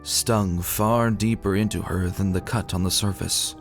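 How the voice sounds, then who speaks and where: Male narrator for dramatic fantasy reading: lowish pitch, serious, measured storytelling, light music underneath. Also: steady tone, creaky.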